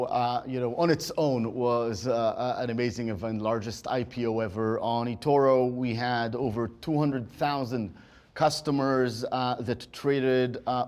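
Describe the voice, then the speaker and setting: A man speaks as a company executive on an earnings call, with a medium-pitched voice. His phrasing is halting as he lays out his market commentary. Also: a little mellow.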